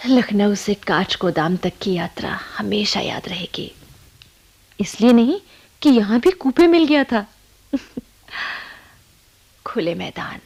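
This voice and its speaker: A woman's voice: mid-range voice, vocal fry, darkish tone, breathy edge, heavily acted. A young woman acting in a Hindi radio play as a happy, coy newlywed, reading out a confiding letter in a dreamy, giggly way. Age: young adult.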